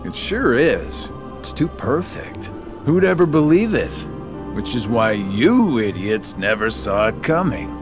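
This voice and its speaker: A man's voice: medium pitch, big pitch swings, a little slow. An actor playing a sly, mocking adult male villain in a game cutscene, taunting and sarcastic in a drawling way. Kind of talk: video game cutscene acting. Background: game soundtrack music.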